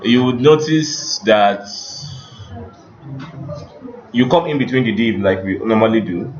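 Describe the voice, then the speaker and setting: A man giving a screen-share coding tutorial as a teacher, explaining step by step in a halting, instructional way. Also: on the low side, faint music behind. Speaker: computer teacher. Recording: muffled with background noise.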